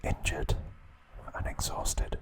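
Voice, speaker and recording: A man whispering ASMR trivia narration in a soft, steady voice, with casual asides now and then. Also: slow pace, background noise. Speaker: ASMR creator.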